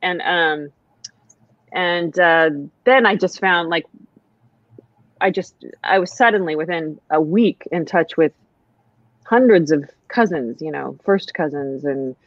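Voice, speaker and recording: A woman's voice: deep voice, slow pace, long pauses, dry recording, muffled recording. An American woman, a writer, talking reflectively as a guest in a casual conversation, with many fillers and restarts. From United States.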